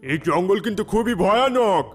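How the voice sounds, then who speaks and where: An actor playing a brisk, protective rescuer in a children's cartoon dub, speaking in a commanding, scolding way. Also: medium pitch, expressive, faint music behind, natural voice.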